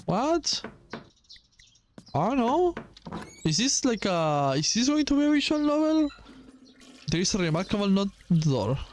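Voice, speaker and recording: A man giving casual, halting game commentary and reading dialogue aloud, with a bored, dismissive manner. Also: high voice, big pitch swings, a little hiss or hum. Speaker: gaming video host. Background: music behind the voice.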